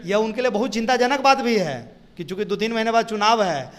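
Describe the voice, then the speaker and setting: A man with a Bihari accent speaks in a high-pitched voice as a political spokesperson. He argues his points in an interview style, repeating phrases for emphasis and throwing out rhetorical questions. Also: lively intonation, fairly quick.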